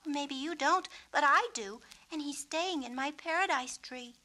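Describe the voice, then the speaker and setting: A woman voicing an earnest, gentle young girl in a storybook, girlish and a little halting. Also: high-pitched, pitched above the performer's own voice, low-quality recording. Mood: defensive, earnest. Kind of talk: storybook character reading.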